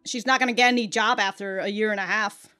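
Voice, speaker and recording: A woman's voice: mid-range voice, steady tone, quick pace, pushed and bright. A woman giving casual reaction commentary as a video host, blunt and jokey, with slangy, sweary talk.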